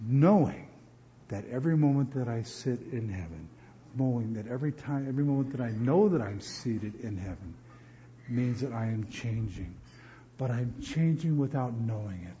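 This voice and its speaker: Male pastor from New Jersey, preaching, lively swooping delivery, mellow tone, dull lo-fi recording. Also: mid-range voice, a little slow, a little hiss or hum. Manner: animated, repeats words while building emphasis. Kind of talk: sermon.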